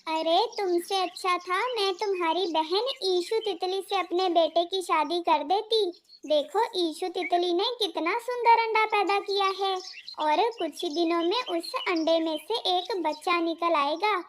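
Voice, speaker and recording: A woman's voice: very high voice, a little slow. A woman voicing a blunt, scolding older butterfly mother-in-law in a children's cartoon. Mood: scolding, threatening. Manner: nagging, blunt. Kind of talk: Hindi children's cartoon dialogue.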